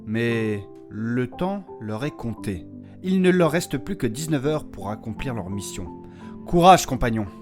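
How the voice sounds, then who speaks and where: Casting: man as courteous, anxious fantasy healer, formal diction, very lively pitch, comic audio drama. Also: measured pace, faint music behind, natural voice. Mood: grave narration. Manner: formal, addresses others politely as monsieur. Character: anxious, courteous, formal male healer guiding his companions.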